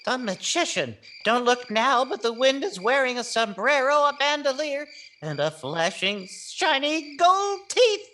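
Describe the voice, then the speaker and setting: A woman's voice: high-pitched, very animated, a little slow, a bit bright, a little hiss or hum, full character voice. A woman playing a wry, wisecracking comic sidekick in a 1940s-style radio comedy. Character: wisecracking, jittery comic treasure-hunting sidekick.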